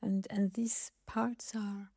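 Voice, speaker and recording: A woman hosting an interview, speaking softly and slowly, at times half whispered, reflecting on her art. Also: mid-range voice, little pitch movement, creaky, dry recording, clean studio sound. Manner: soft, slow, partly whispered. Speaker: radio host and artist.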